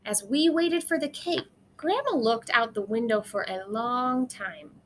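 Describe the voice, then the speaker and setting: A woman's voice: on the high side, expressive, a little slow, fairly bright tone, slight background noise. A woman reading a children's storybook aloud, expressively acting out the dialogue and sound words.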